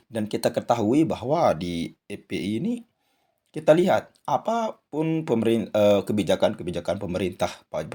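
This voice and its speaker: A man hosting his channel, giving a spoken commentary with a hoarse voice and many hesitant fillers. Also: fairly low pitch, a little mellow. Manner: addresses viewers as "saudara sekalian", frequent "eh" fillers. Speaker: channel host. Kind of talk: commentary monologue.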